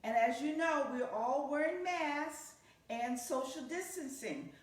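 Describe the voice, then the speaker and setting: A woman with a very high, lively voice leads a children's story time, talking straight to her young listeners. Her delivery is unhurried and sing-song, and the room around her echoes heavily. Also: distant mic. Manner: animated, sing-song, addressing children directly. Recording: very echoey, reverberant room sound.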